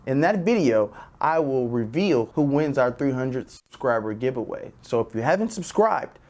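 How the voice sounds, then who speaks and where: A man hosting a children's read-aloud, addressing young viewers directly with drawn-out emphasis. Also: medium pitch, very animated, darkish tone. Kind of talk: presenting and reading a children's picture book.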